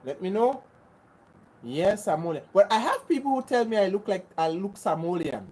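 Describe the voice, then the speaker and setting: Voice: mid-range voice, very animated, normal speed, a little mellow, breathy edge, rough recording. A livestream host from Grenada, talking earnestly to viewers. Often repeats and restarts phrases and speaks in an insistent, preachy way. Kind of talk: casual livestream chat answering viewers.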